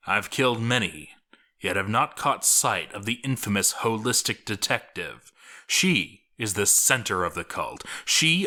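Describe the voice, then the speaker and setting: A man narrates a story in a fairly low voice with a bright, forceful edge. His delivery is theatrical and deliberate, shaped for drama with well-placed pauses. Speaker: narrator reading a game story aloud.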